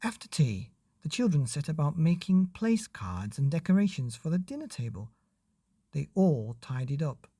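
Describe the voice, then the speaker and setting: Man, storybook narration, low lively voice, expressive, dry close sound. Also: breathy edge, dry recording. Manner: unhurried, expressive reading for children. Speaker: bedtime story podcast narrator.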